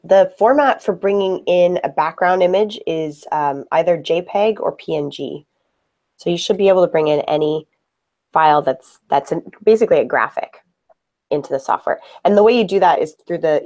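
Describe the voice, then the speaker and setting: A woman from a software team interviewing a user, explaining features patiently and asking friendly questions. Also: sits low, normal speed.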